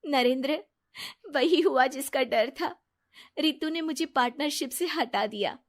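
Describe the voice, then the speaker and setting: Woman acting a high-voiced, trusting young wife. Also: a little slow. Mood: hurt, pleading. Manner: earnest, pleading. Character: trusting, soft-hearted young wife, naive and apologetic.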